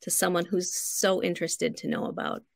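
A woman's voice: fairly low pitch, steady tone, normal speed, gravelly, no room sound, clean studio sound. A middle-aged woman speaking as a guest writing coach, casually giving encouraging instruction. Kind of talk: casual interview chat, gentle instruction. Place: living room at home, over a video call. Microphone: video call.

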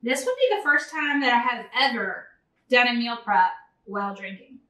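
Chatty high-voiced woman in kitchen. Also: expressive, normal speed, sharp tone, far from the mic. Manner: chatty and enthusiastic, addresses viewers as y'all. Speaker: cooking channel host. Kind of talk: casual cooking vlog. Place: large home kitchen. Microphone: camera a few feet away. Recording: echoey kitchen, voice a bit off-mic.

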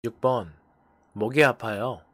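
Teacher voice, rapid delivery, dry studio sound, clear prompting. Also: mid-range voice, fairly bright tone, no room sound. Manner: brisk, clear prompting of numbered phrases. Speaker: English teacher. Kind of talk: language lesson instruction.

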